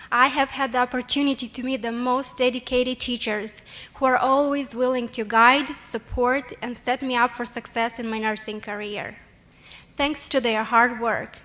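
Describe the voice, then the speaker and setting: A woman from Moldova with a Moldovan accent, a nursing student giving a formal prepared speech in an earnest, deliberate way from a lectern. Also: fairly high pitch, fairly bright tone. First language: Romanian. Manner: earnest, deliberate, with emphatic phrases. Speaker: nursing student and award nominee.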